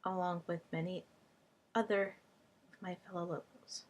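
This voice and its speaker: A woman whose first language is Hungarian, a language tutor speaking to camera in a personal vlog, in short, halting phrases. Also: lowish voice, fairly even intonation, darkish tone.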